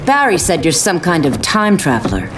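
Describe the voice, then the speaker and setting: An actress playing a stern, ruthless Amazon warrior in a superhero game, cutting and imperious. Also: female voice, low-pitched, wildly swooping pitch, moderate pace, noisy background. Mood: cold, cutting.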